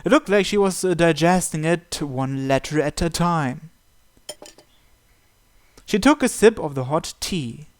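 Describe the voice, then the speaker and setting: A man's voice: a little high, lively intonation, measured pace, long pauses. A man reading a visual novel aloud as story narration. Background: game music.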